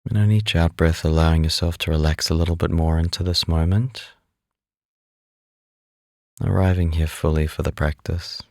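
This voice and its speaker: A man leading a guided meditation as an instructor, speaking in a calm, unhurried, instructive way. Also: low voice, dry recording, clean studio sound.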